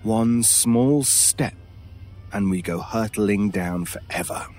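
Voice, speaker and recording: A world-weary British stranger in an audio horror drama. He speaks slowly and broodingly, with wry asides and weighty pauses, like someone haunted. Soft music runs behind him. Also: lowish voice. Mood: grave, brooding.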